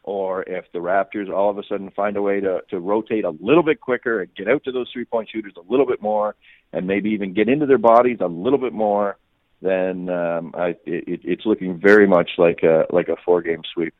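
A man's voice: sits low, fairly quick, dry recording. A man giving off-the-cuff sports analysis as a guest reporter, often repeating words and restarting his sentences. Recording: muffled phone-like radio line.

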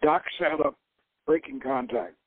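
A man reading fiction aloud in a deliberate, theatrical storytelling manner, as the host of a reading. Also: fairly high pitch, wildly swooping pitch, husky. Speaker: host reading his own novel. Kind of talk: dramatic audiobook-style fiction reading. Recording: thin phone-like internet radio sound.

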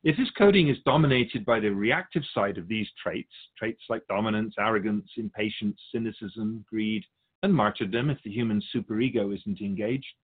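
A man playing a patient, lofty spirit guide who teaches in measured, formal phrases in a scripted audio drama. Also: fairly low pitch, narrow, tinny audio, lightly acted. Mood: grave, instructive. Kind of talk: scripted dialogue in a fiction podcast.

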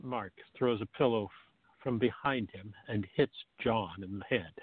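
A man with a gravelly, creaky voice reading scripted narration for a comic radio play, on a tinny, narrow recording. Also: on the low side, measured pace, no room sound. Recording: tinny, narrow phone-like sound.

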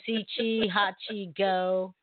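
A woman's voice: mid-range voice, flat delivery, takes it slowly, no echo. Chatty woman on a tinny line. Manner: chatty, laughs often, trails off in restarts. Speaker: co-host. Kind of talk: casual online talk show chat. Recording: thin phone-like audio.

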